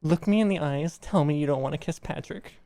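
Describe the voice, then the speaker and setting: Man putting on a heartbroken, accusing girlfriend, pitched up. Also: a little fast, creaky, warm tone, no room sound. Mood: demanding. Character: heartbroken, jealous young woman ending her relationship.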